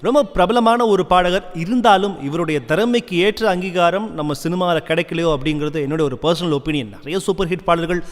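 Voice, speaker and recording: A man's voice: high voice, a little fast, hardly any pauses. A man hosting a radio show, speaking fluently and conversationally with English words mixed in. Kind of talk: radio presenting between classic songs. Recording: long reverb on the voice.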